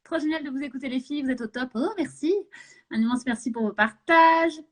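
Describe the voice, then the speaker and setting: High-voiced chatty French-speaking woman host. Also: fairly quick. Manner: chatty, many fillers and restarts, laughs often. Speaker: host of a live session. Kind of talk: casual live chat.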